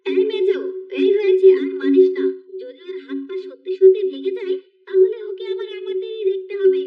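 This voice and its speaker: Woman acting a shrill, very high-pitched bullying daughter-in-law. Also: brisk, distant mic. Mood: bossy. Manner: scolding, bossy, menacing. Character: cruel, bullying young daughters-in-law threatening an old mother-in-law. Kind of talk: children's moral-story cartoon dialogue. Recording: muffled, narrow phone-like sound.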